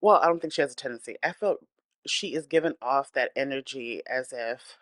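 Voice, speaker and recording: A woman's voice: a bass voice, fairly quick, a bit bright, no room sound. A woman hosting a celebrity gossip channel, speaking in a blunt, opinionated, chatty way while congested from a cold and breathing hard. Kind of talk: casual celebrity gossip commentary.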